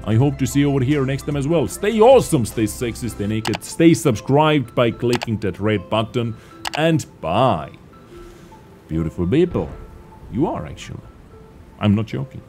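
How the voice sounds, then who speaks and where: A man whose first language is not English, hosting an emphatic gameplay commentary voice-over and swinging up and down in pitch and loudness. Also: big pitch swings, normal speed, soft background music. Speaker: gaming video host.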